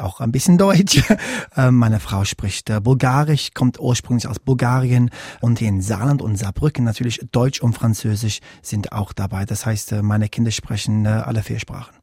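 An English man answers interview questions in German, which is not his first language, and his English accent shows through. He speaks haltingly, stopping often for fillers. His voice is mellow and slightly husky, recorded dry and close. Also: lowish voice, no room sound. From England. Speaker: guest.

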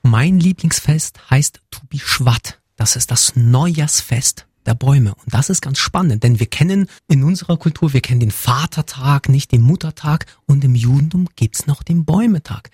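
Earnest man, mellow husky voice. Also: medium pitch, moderate pace, few pauses, no room sound, clean studio sound. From Germany. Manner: earnest, list-like phrasing. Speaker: guest, community representative. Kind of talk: interview answers.

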